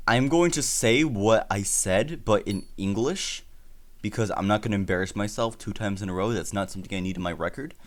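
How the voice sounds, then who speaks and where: Deep-voiced teen boy rambling a storytime. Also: a little hiss or hum. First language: Spanish. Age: teens. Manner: rambling, self-deprecating, stammers and restarts often. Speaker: YouTuber. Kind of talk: storytime while playing a video game.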